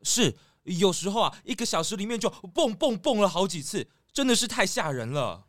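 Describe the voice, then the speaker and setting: A man's voice: high voice, big pitch swings, fairly quick, a bit bright. A man with a Taiwanese Mandarin accent voicing a friendly, enthusiastic male sika deer in a children's audio drama, chatty and eager. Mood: troubled.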